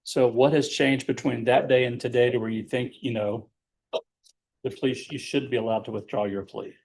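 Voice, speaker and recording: A man working as a defense attorney, questioning his client in court in a measured, explanatory way with leading questions. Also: medium pitch, steady tone, a little mellow.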